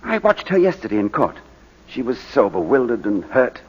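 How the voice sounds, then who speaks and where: An actor playing an anguished, edgy baronet in a 1940s radio drama, strained and stammering when agitated. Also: male voice, mid-range voice, sing-song, moderate pace, slightly rough. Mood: agitated, weary. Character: anguished, edgy English baronet accused of imposture. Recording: muffled old 1940s radio recording.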